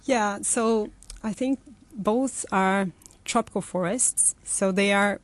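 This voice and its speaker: A woman's voice: mid-range voice, takes it slowly, dry recording. A young woman from Brazil, a guest in an interview, explaining her work thoughtfully with many fillers and restarts. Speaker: forest restoration guest. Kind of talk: conversational interview answers.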